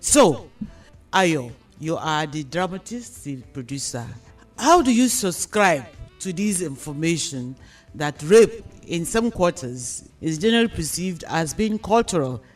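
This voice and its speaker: A man with a Nigerian accent hosting a radio discussion, explaining deliberately and with emphasis. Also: a little high, very animated, faint music behind. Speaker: discussion host. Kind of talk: radio discussion, posing questions.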